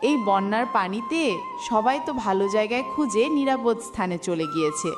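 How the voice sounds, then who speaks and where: A woman voicing a kind, caring water fairy in a cartoon, gentle and concerned. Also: fairly high pitch, lively intonation, a little fast, music in the background, lightly acted. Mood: kindly concern. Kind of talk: cartoon voice acting.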